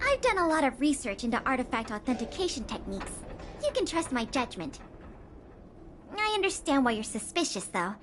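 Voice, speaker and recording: A woman's voice: high-pitched, sing-song, fairly quick, noisy background. A woman playing an eager, studious young investigator in a video game, speaking in an earnest, articulate way. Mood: earnest, measured. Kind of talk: video game character dialogue. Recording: music behind the voice. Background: game background music.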